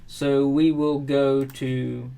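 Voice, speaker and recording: A middle-aged man from South Carolina talks through a software tutorial at an easy, slow pace. His pitch sits in the middle, and his speech is relaxed and full of ums. Keys clatter now and then as he types while he explains. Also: vocal fry, clean tone. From South Carolina, USA. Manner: relaxed, unhurried, frequent ums and uhs. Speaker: WordPress tutorial host. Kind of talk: casual screen-recorded software tutorial. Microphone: webcam overlay at desk.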